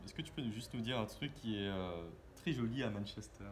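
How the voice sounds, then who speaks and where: A man hosting a casual interview with a friendly, halting way of asking questions. He often restarts his sentences. His voice is on the low side, with faint noise behind it. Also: expressive. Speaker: interviewer, host.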